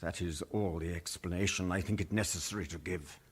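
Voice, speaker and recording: A man's voice: deep voice, fairly even intonation, brisk, slightly rough. An actor playing a stern, pious Father Superior of a convent in a period radio drama, severe, imperious and formal. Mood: cold, righteous.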